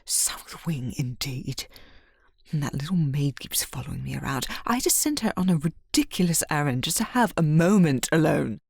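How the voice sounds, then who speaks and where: A woman playing a genteel but secretly jealous scheming mistress in an audio drama, with hesitant, deliberate delivery. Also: deep voice, expressive, vocal fry, a little mellow, slightly rough, no room sound, clean studio sound, full character voice. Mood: bitter, hushed. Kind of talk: scripted ASMR audio roleplay.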